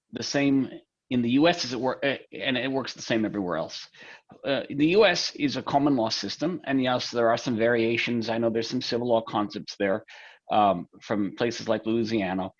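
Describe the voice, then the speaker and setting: A man appearing as a guest on a podcast over a slightly dull remote line, his voice at an average pitch. He explains things in a relaxed, conversational way, with fillers and small restarts. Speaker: guest attorney. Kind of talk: interview answer.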